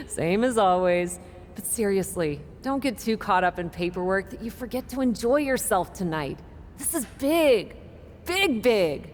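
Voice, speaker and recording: A woman's voice: medium pitch, sing-song, measured pace. A woman playing a confident, easygoing wrestler in a video game cutscene, chatting in a friendly, teasing way. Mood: teasing, excited. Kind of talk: casual acted cutscene dialogue. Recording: reverberant room, faint noise.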